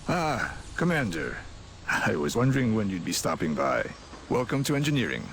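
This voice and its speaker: Courteous older engineer, measured. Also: male voice, lowish voice, very animated, fairly bright tone, a little hiss or hum. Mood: welcoming. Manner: measured, formal, deliberate. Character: courteous, thoughtful older male chief engineer. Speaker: chief engineer. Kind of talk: scripted video game dialogue.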